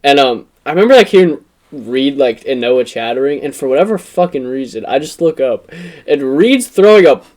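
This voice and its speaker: A young American man telling stories in a rambling, animated, swearing way as a podcast co-host in casual chat. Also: medium pitch, moderate pace. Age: young adult. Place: in person with co-hosts.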